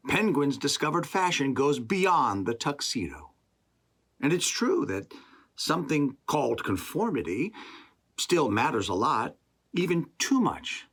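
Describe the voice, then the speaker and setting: A man with a husky voice narrates a rhyming story for children. His delivery is slow and deliberate, with expressive pauses. The pitch sweeps widely as he reads.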